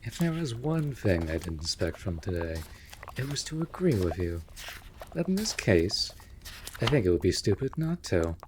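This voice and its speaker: A man's voice: lowish voice, big pitch swings, normal speed. A man playing a wry, put-upon winged animal familiar in a fantasy audio roleplay, with teasing, dramatic, formal diction. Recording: clear background noise.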